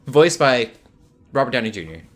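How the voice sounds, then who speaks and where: A man's voice: medium pitch, big pitch swings, moderate pace, fairly bright tone. A man co-hosting a podcast, chatting casually about games. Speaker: podcast co-host.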